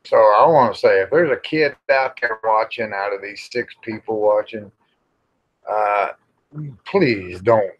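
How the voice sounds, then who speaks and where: A middle-aged or older American man with a fairly low voice chats casually to his livestream viewers. He talks very slowly in a folksy, plain-spoken way, rambling along with mild cusses. The audio is a bit dull and lo-fi. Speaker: livestream host.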